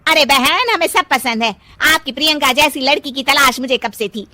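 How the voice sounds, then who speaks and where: A woman's voice: high voice, moderate pace, bright, forceful tone, no echo. A woman voicing a brash, status-obsessed middle-aged mother-in-law in a cartoon story, exclamatory, gushing and scolding. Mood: gushing, eager. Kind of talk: exaggerated cartoon story acting.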